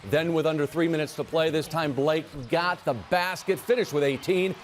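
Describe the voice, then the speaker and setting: A man anchoring a local TV sports desk, reading highlights in a brisk, punchy manner. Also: steady tone. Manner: brisk, punchy play-by-play delivery.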